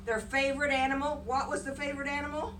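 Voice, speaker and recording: Folksy high-voiced woman storyteller, noisy distant recording. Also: fairly even intonation, fast. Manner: folksy, chatty, encouraging. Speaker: storyteller and host. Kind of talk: children's folktale storytelling with audience prompts. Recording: noisy room, distant mic.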